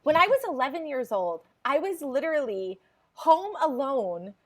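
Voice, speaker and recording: A woman's voice: high-pitched, big pitch swings, normal speed. A woman in her forties, a podcast guest, telling her story in a chatty way with frequent laughs. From New Jersey. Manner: chatty, laughs often, restarts phrases. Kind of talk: casual interview chat.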